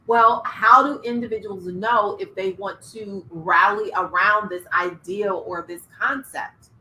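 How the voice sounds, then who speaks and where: A middle-aged woman coaching entrepreneurs in an online workshop, energetic, laughing and asking the audience questions. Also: mid-range voice, low-quality recording. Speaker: business coach. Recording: faint background noise.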